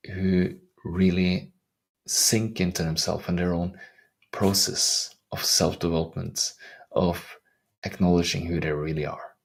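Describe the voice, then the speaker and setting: A middle-aged man with a Norwegian accent talks in a deep, gravelly, husky voice. He is soft-spoken and earnest, circling back over his own phrases in an unhurried way. It sounds like a reflective coaching vlog. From Norway. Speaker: life coach. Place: outdoors in the wilderness.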